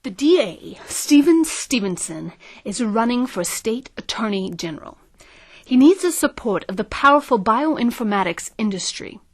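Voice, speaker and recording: Woman acting as a high-voiced scheming consultant. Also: very animated, normal speed, no room sound. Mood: scornful, knowing. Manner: emphatic, condescending. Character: scheming, confident, scornful female marketing consultant. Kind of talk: audio drama acting.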